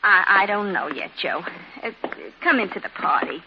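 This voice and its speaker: A woman's voice: mid-range voice, lively intonation, normal speed, background noise, close to an everyday voice. A woman playing a placating, easily flustered young hostess in a 1950s radio drama. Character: fond, flustered young woman hostess courted by two men. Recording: muffled vintage radio, music behind.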